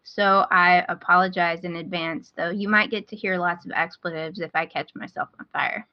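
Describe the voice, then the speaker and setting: A woman's voice: lowish voice, fairly even intonation, moderate pace, dry recording. Chatty woman podcaster, slightly dull audio. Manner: chatty, enthusiastic. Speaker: podcast host. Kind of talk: casual podcast recommendation talk.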